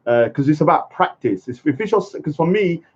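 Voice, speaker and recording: Combative ranting man, lively pitch. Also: a little fast. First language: English. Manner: blunt, combative, repeats "yeah?" and "let me say something". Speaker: speaker's corner vlogger. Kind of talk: ranting monologue. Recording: dull lo-fi sound.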